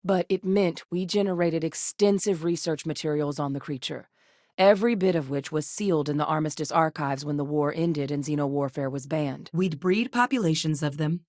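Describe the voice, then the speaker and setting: An actress playing a steady, determined female military scientist in a video game, with a serious, matter-of-fact manner. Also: sits low, normal speed, no room sound, clean studio sound, close to an everyday voice. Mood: measured, serious.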